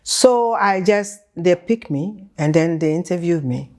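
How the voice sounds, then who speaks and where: A woman's voice: fairly low pitch, expressive, measured pace, a little mellow. A woman in her seventies with a Ghanaian accent touched with British, speaking as an interview guest. She tells her life story conversationally, hesitating and repeating phrases for emphasis. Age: 70s.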